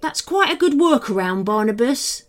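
A woman's voice: on the high side, big pitch swings, moderate pace, soft background music. A woman voicing a grumpy older male gnome in a children's story, sarcastic and exasperated as he snaps. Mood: grudging, snappish.

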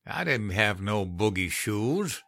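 A man's voice: on the low side, expressive, unhurried, sharp tone, exaggerated voice. A man playing a cynical, drawling old country man. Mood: indignant, scornful. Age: older. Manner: folksy drawl, gruff and scornful. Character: cynical old country man recounting grim Depression-era hardship. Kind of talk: dramatized horror story narration.

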